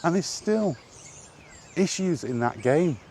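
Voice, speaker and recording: A man's voice: a little high, expressive, slow, dark tone. A British man talking off the cuff in an exasperated, sweary rant, like a gaming vlogger chatting while out walking. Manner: exasperated, sweary, repetitive rhetorical questions. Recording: outdoor recording with clear background noise.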